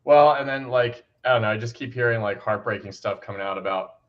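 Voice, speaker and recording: A man's voice: lowish voice, brisk, dull audio. A hungover man chatting casually over a video call with a headset, profane and rambling. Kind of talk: casual chat.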